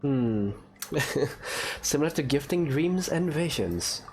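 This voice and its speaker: A man's voice: fairly high pitch, animated, takes it slowly, darkish tone. A man giving casual playthrough commentary, laid-back and amused as he reacts aloud to a video game. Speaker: gaming video creator. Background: game music.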